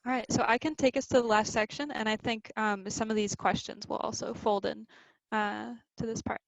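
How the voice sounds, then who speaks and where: A woman's voice: fairly high pitch, fairly even intonation, normal speed, gravelly, no echo, poor audio. A woman of twenty giving a casual, filler-heavy presentation as a youth climate organizer. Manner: filler-heavy, loose explanatory talk.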